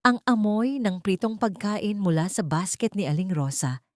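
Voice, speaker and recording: A woman's voice: medium pitch, expressive, moderate pace, darkish tone, no room sound, clean studio sound. A woman narrating a scripted, dramatic story as an audiobook reader.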